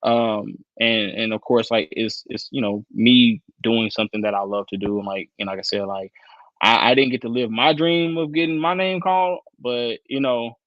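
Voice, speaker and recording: Animated man with slangy talk. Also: mid-range voice, moderate pace, no echo, lo-fi sound. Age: 30s. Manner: animated, slangy, many fillers and restarts. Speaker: guest, football analyst. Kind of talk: casual interview chat.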